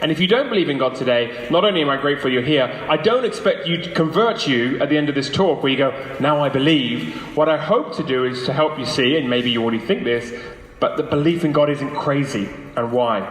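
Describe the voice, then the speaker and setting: A man's voice: medium pitch, fairly quick. A man speaking persuasively as a guest lecturer to a live audience, using rhetorical questions and emphatic phrasing. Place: large echoey room, lunchtime public talk. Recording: echoey hall with long reverb.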